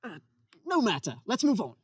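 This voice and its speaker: A woman's voice: fairly high pitch, sing-song, normal speed, darkish tone, husky, no echo. A woman playing a flustered, deferential male committee adviser in a radio comedy sketch. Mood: obsequious. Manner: deferential, prim, apologetic. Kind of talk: old-time radio sketch comedy parody.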